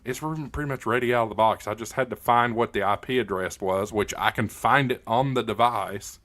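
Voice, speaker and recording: A man hosting a casual hobby livestream, answering comments in a halting, plain-spoken, opinionated way, often repeating words as he goes. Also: on the low side, a bit bright, no room sound. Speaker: livestream host, ham radio hobbyist.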